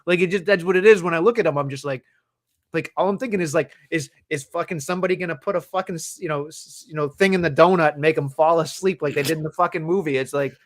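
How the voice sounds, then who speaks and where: A man's voice: fairly high pitch, fast talker. A man co-hosting a casual wrestling podcast, rambling and profane, often laughing as he talks. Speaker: podcast co-host.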